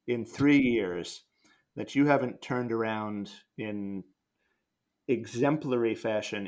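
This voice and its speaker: A man chatting casually as he interviews a fellow cast member. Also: mid-range voice. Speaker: podcast cast member asking a colleague questions.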